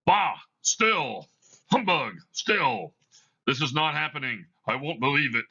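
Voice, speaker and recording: A man's voice: fairly high pitch, lively intonation, takes it slowly, pushed and bright, husky, highs cut off, voice pushed up, exaggerated voice. A man playing a grumpy, skeptical old miser who is rattled yet defiant, blustering through a play reading. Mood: panicked denial. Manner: blustering, exclamatory.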